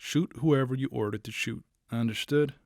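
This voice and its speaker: Army major, male actor, mid-pitched: officious, petty, awkwardly hesitant; audio drama, close dry recording. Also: moderate pace, no echo, close to an everyday voice. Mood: brisk, awkward. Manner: hesitant, clipped, bureaucratic. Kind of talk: post-apocalyptic audio drama acting.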